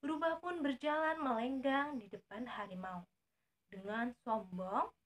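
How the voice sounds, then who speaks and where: A woman telling a story to young children in a deliberate, teacherly way. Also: high-pitched, fairly bright tone.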